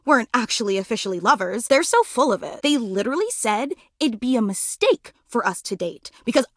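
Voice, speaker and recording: Casting: an obsessive lovestruck superfan for a dating game. High, wildly swooping delivery, needy and theatrical. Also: female voice, very animated, fairly quick, no room sound, clean studio sound. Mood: indignant. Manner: theatrical, gushing, needy, melodramatic. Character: obsessive, clingy, gushing lovestruck female superfan ceiling fan. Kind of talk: video game character acting.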